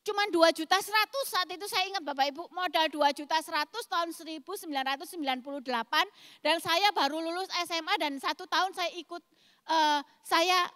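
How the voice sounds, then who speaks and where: High, bright East Javanese woman preacher. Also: no echo, clean studio sound, long reverb tail. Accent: thick East Javanese. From East Java, Indonesia. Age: 40s. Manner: emphatic, explosive delivery addressing the congregation. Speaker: pastor. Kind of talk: sermon.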